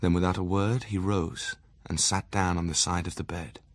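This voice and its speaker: An actor playing a stern, measured asylum doctor narrating his diary in an audio drama. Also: sits low, fairly even intonation, dry recording, natural voice. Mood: measured, grave.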